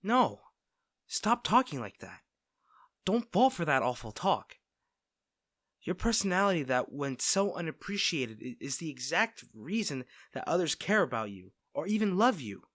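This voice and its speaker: Male actor for devoted best friend in audio roleplay; caring, teasing, conversational, lively intonation, dry sound. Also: fairly high pitch, normal speed, no room sound. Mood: protective, frustrated. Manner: fussing, tender, conversational. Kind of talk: soft-spoken scripted roleplay audio drama.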